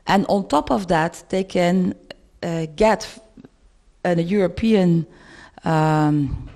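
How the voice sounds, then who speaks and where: Mellow middle-aged Dutch woman. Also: on the low side, slow, vocal fry. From Netherlands. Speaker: panel participant. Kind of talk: discussion contribution. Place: medium indoor room with seated audience. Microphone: handheld microphone.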